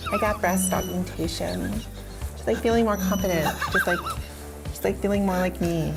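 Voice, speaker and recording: A man's voice: high-pitched, normal speed, vocal fry, over loud music. A man chatting casually and repetitively, amused and on the verge of laughing. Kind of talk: giggly casual chat.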